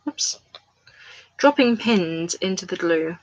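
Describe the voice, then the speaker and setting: A woman's voice: on the low side, lively intonation, slow pace, low-quality recording. A woman chatting casually on a live stream while doing crafts at home. She rambles and talks straight to the viewers in her chat. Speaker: crafts livestreamer. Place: at home, indoors.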